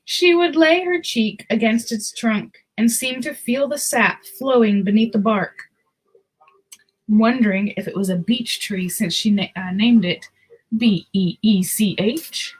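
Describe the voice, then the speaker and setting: A woman's voice: on the high side, a little slow, slightly rough, poor audio. A woman hosting a picture book read-aloud, telling the story expressively with casual asides.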